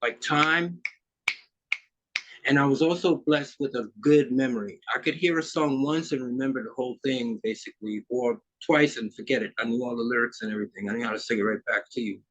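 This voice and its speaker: A man's voice: medium pitch, a little fast, fairly bright tone, dull audio. A man in his fifties from Trinidad, a musician guest talking in an easygoing, rambling way with plenty of fillers. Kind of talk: casual interview chat.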